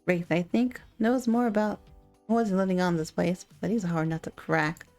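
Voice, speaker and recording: A woman's voice: fairly low pitch, steady tone, moderate pace, a little mellow, faint music behind, close to an everyday voice. A woman voicing a guarded, boastful huntress from a dating sim game, blunt and proud. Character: guarded, boastful wild huntress raised in the woods.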